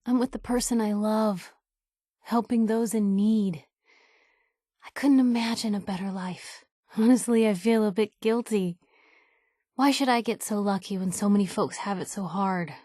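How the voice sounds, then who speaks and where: A plucky young woman reporter from a wasteland video game, played by an actress, speaks in a close, very dry studio recording. She is candid and wry, with a folksy, expressive conversational delivery at a medium pitch. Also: measured pace, long pauses, no echo, lightly acted. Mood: warm, sincere.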